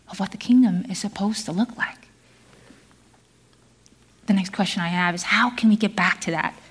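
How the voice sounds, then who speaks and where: A middle-aged woman born in South Korea, preaching a sermon to a congregation in an earnest, measured way, with some fillers and restarts. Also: mid-range voice.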